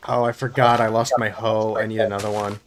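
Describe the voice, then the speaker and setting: A man hosting casual online game chat, relaxed and dry, who explains game details to his friends. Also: on the low side, a little fast, background noise. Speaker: channel host, gamer. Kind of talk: casual chat while playing.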